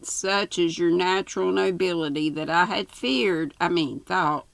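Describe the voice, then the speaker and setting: A woman's voice: lowish voice, a little slow, vocal fry, no room sound. A woman voicing a coy young farmer's daughter in love, gushing and demure in a flowery storybook melodrama. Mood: coy delight.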